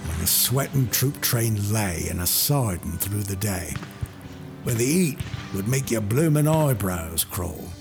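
A man voicing a rough old British soldier, reciting a war poem in heavy with bellowed calls. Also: fairly low pitch, lively intonation, measured pace. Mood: gruff recollection. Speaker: audiobook narrator. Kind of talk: dramatic poetry reading. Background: music.

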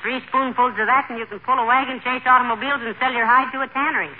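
A woman's voice: a little high, steady tone, rapid-fire, phone-quality sound, close to an everyday voice. An actress playing a patient middle-aged wife who indulges her husband sweetly and teases him dryly, in 1940s radio sitcom style. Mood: indulgent. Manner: sweetly indulgent with dry teasing. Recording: thin, hissy 1940s radio broadcast.

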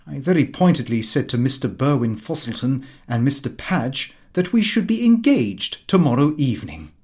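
A man's voice: mid-range voice, darkish tone. A British man reading a comic diary as an audiobook narrator, in character with a fussy, indignant delivery.

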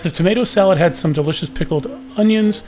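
A man hosting a podcast with a booming voice, telling a story in an easygoing, chatty way. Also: quick pace, darkish tone. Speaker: podcast host. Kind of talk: casual podcast recap.

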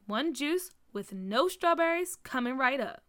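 A friendly shopkeeper uncle in a children's story, voiced by a woman. The voice is high and bright, with encouraging, familiar slang. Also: animated, a little slow. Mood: upbeat. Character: friendly, easygoing adult uncle who runs a shop.

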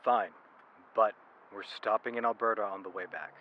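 Male actor as weary, low-pitched young man in a supernatural mystery audio drama; noisy recording. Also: moderate pace, muffled recording, lightly acted. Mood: exasperated, concerned. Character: weary young man narrating and searching for a missing girl. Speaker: narrator and character in supernatural mystery podcast. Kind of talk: audio drama acting with reflective narration.

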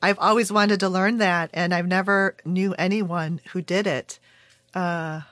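A woman's voice: lowish voice, fairly even intonation, a little slow, fairly bright tone, dry recording. A woman hosting a podcast, talking in a chatty, enthusiastic way in a casual interview style. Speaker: podcast host.

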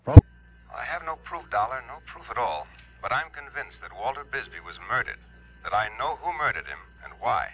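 An American actor playing an earnest, suspicious insurance branch manager in a 1950s radio drama, emphatic and businesslike. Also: male voice, medium pitch, very animated, fairly quick, lightly acted. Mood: convinced, urgent. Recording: muffled vintage radio recording.

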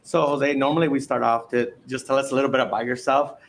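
A man's voice: mid-range voice, fairly even intonation, fast. A man hosting a live interview at a convention center, introducing his guest in a friendly, informal way. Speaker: host.

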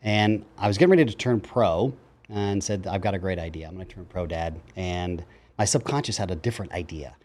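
A man's voice: sits low, brisk. A man in his fifties, a psychologist guest, telling his life story in casual interview chat and acting out the voices of people he quotes. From grew up in Japan and Germany. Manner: animated storyteller, acts out quotes.

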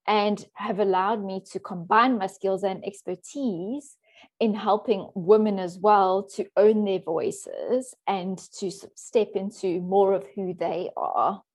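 Woman, podcast guest, chatty and upbeat, laughs easily, mid-pitched. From South Africa. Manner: warm, chatty, laughs often. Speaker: guest, life coach. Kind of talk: casual interview talk.

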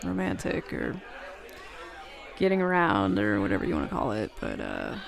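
A woman playing a flustered, smitten, jealous roommate in an intimate roleplay audio, speaking haltingly and nervously. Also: extremely low pitch, huge pitch swings, exaggerated voice. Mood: shy, tender. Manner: halting, nervous, stammering with breathy laughs. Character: flustered, smitten, jealous bad-girl roommate confessing a crush. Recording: bar crowd murmur behind voice.

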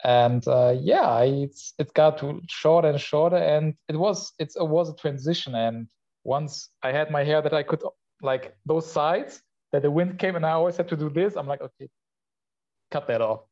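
Podcast guest: man from Poland, fairly high voice, stop-start chat, dull recording. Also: a little mellow. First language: Polish. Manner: halting, with many restarts and laughs. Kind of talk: casual chat. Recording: muffled lo-fi sound.

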